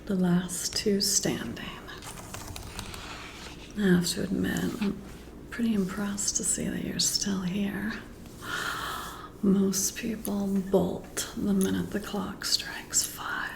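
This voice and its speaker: Lady doing a teasing lady boss asmr roleplay, super creaky soft whispery voice, takes her time, chatty and close. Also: medium pitch, level tone. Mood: approving, confiding. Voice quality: breathy, whispery. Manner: slow, intimate, conversational. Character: friendly, teasing female boss working late. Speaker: ASMR performer.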